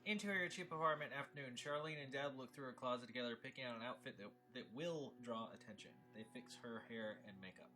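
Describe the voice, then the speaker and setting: A man's voice: mid-range voice, fast talker, few pauses, pushed and bright, soft background music, rough recording. A man reading stage directions as narrator at a film table read.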